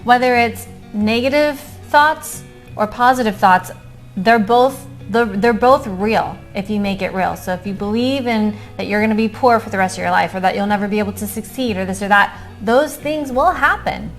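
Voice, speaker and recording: A woman's voice: mid-range voice, normal speed, faint music behind. A middle-aged woman co-hosting a casual vlog chat, talking with enthusiasm and supportive agreement. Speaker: co-host of a couple's book-review vlog.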